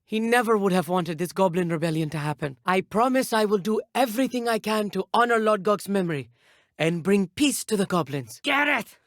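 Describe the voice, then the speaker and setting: An actor playing an earnest, bookish teenage schoolboy wizard in a video game, polite and a little nervous, with precise, slightly formal speech. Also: male voice, high-pitched, lively intonation, a little fast, no echo, studio quality. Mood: eager. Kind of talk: scripted video game dialogue.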